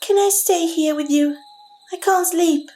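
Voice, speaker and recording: A very high, childlike voice for a young half-elf girl in a fantasy audio tale. She is earnest and pleading as she speaks to her father. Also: measured pace, mellow. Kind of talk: audiobook character acting.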